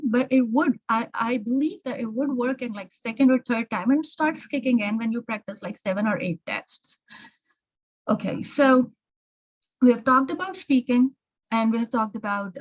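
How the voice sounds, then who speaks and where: A woman's voice: a little high, rough recording. Woman instructor with an American-tinged accent, informal and chatty, repeats herself while giving exam tips. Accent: learned American English. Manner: chatty, repeats words and restarts often.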